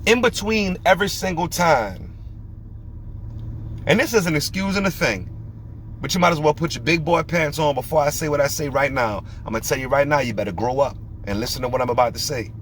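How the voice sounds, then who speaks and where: A man's voice: fairly high pitch, a little fast, fairly bright tone, slight background noise. Preachy, emphatic male podcast host. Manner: emphatic, preachy, fires rhetorical questions.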